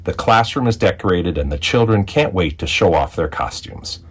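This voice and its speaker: A man reading a children's picture book aloud as a storyteller, with expressive narration and deliberate dramatic phrasing. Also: sits low, slightly rough. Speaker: read-aloud storyteller, school executive.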